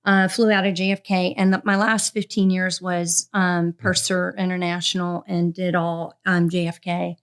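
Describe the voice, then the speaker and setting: A woman's voice: lowish voice, little pitch movement, normal speed, hardly any pauses, smooth. A woman from Miami, a guest on a podcast, talking casually and chattily with many fillers and laughs. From Miami, Florida. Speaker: guest, retired flight attendant. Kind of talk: casual chat.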